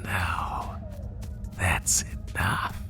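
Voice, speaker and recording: A man voicing a sadistic spider monster in a horror roleplay, taunting the listener with menace in a breathy, gravelly half-whisper. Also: low-pitched, level tone, music in the background. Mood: gloating. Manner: slow, taunting, menacing, drawn-out phrases. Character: sadistic male spider monster hunting prey through the woods.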